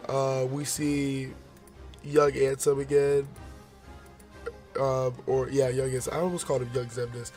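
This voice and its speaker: Hoarse man rambling through trailer commentary. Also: mid-range voice, level tone, measured pace, long pauses, a little mellow, soft background music. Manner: rambling, many fillers and restarts; forcing his voice out. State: hoarse, losing his voice. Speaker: gaming video host.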